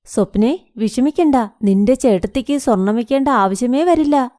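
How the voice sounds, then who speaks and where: Generous cartoon mother-in-law played by a woman: middle-aged, comforting, high register, dry studio recording. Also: animated, normal speed, mellow, no echo, natural voice. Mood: reassuring. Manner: reassuring. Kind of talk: cartoon moral story acting.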